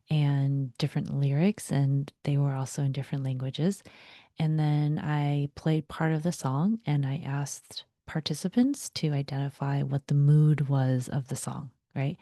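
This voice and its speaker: A young woman whose first language is Vietnamese, giving a reflective guided talk in a soft voice that often slips into a breathy whisper. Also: low-pitched, no room sound, studio quality. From United States. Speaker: vlogger.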